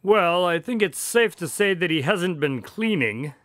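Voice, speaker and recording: An actor playing an affable, wry doctor sidekick who tells stories in a chatty, expressive, mildly exasperated way in a radio drama. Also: male voice, high-pitched, unhurried, dry recording, studio quality. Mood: wry, puzzled. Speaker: narrator-character of a radio drama. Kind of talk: scripted radio drama narration and dialogue.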